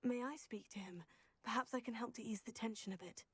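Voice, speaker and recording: A woman acting a tactful teenage schoolgirl witch in a video game, with a husky voice. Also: fairly high pitch, dry recording. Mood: calm, diplomatic. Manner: polite, measured.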